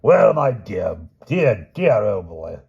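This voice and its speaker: Brief: a man playing a breezy, hearty veteran knight; lively and jovial. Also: lowish voice, slow, slightly rough, dull audio, voice pushed up, exaggerated voice.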